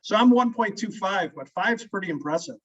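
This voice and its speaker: A man hosting an interview talks in a friendly, rambling way, often restarting his sentences. His voice is fairly high for a man and sounds distant and muffled, as if set back from the mic. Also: expressive, fairly quick, fairly bright tone. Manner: rambling, stop-start, friendly. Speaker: family law attorney host. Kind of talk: casual interview conversation.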